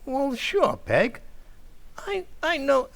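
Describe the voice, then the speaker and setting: A man's voice: very high voice, wildly swooping pitch, extremely slow, gravelly, fairly bright tone, exaggerated voice. A man playing a middle-aged, blustering working-class family man in old-time radio comedy style, with folksy ungrammatical speech and comic stammers. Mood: gushing.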